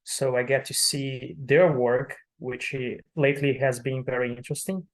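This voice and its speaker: A man's voice: medium pitch, steady tone, normal speed, rough recording. A man with a Brazilian Portuguese accent, a native Portuguese speaker, giving thoughtful interview answers with frequent fillers and restarts. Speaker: audio description manager.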